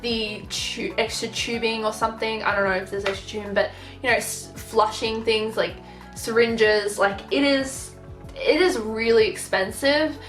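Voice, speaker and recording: A young woman talks frankly and casually to camera, filling her speech with "like" and restarts. Her voice sits a little high. The room rings with echo, and soft music plays underneath. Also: steady tone, unhurried. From Australia. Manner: chatty, frank, with many fillers. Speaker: vlogger.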